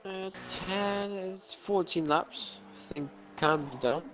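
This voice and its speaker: A man's voice: high voice, takes it slowly, fairly bright tone, faint music behind. A man giving casual live co-commentary on a sim race, often hesitant as he searches for words. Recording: faintly noisy stream microphone.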